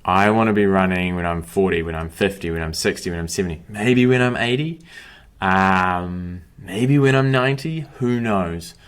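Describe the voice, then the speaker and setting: Upbeat low-voiced male running coach. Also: moderate pace, smooth. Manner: upbeat, motivational, punchy short phrases. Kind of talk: course wrap-up talk to camera.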